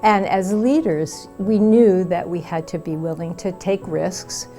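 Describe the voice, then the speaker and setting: A woman's voice: on the low side, steady tone, unhurried, dark tone. A woman in her seventies giving a personal testimonial as a veteran nurse. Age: 70s. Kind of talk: personal testimonial for a promotional video. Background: faint music.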